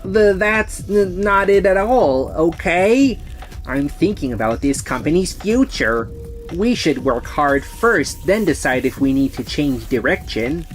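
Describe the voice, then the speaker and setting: A woman's voice: sits low, animated, measured pace, faint music behind. A woman playing a proud, stubborn sales manager who gets defensive under pressure, in a manga dub. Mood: flustered, defensive.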